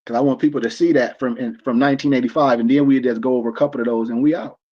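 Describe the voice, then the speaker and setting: A man's voice: medium pitch, steady tone, very fast, highs cut off. A man in his forties, from the United States, lecturing in a casual preaching style as host of an online stream, emphatic and rambling with many restarts. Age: 40s.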